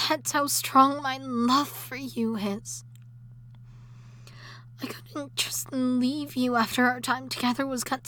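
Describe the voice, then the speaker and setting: A woman voicing an obsessive, jealous wife who has come back from the dead, in an audio drama that moves between intimate whispering and shrill shouting. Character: obsessive jealous undead wife, possessive and unstable.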